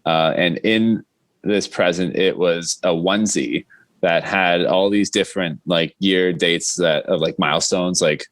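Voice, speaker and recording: Male podcast guest, lower-pitched voice, informal conversational storytelling, dry sound without room echo. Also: fairly even intonation, unhurried, a bit bright. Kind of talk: casual chat with personal anecdotes.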